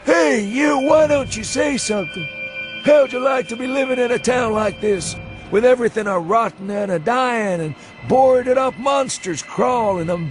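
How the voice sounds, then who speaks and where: An actor playing a frightened, rambling old drunkard with a folksy rural New England accent in a radio horror reading. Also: male voice. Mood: agitated, badgering. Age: older. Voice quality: shrill when agitated. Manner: rambling folksy speech, badgering and insistent.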